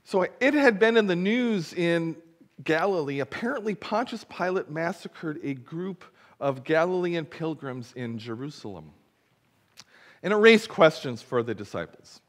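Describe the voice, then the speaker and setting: Middle-aged guy preaching in church, voice swoops up and down a lot, slightly high, tosses questions at the pews. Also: a little slow. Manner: lively storytelling preacher, asks the congregation questions. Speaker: pastor. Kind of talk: gospel reading and sermon. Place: church sanctuary.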